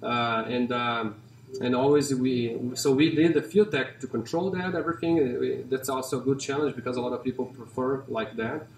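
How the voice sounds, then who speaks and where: A man in his forties with a Brazilian accent, talking casually and haltingly about his car in a large garage showroom, with many fillers and restarts. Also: mid-range voice, steady tone, a little hiss or hum. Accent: Brazilian Portuguese. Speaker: company CEO and car collector. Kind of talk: casual walkaround interview. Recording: roomy and distant in a garage.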